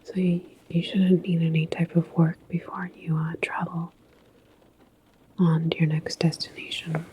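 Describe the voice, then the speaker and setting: A woman playing a calm, reassuring transit station attendant in a sci-fi ASMR roleplay, speaking in a soft, breathy whisper with a halting, chatty manner. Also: deep voice, slight background noise, poor audio.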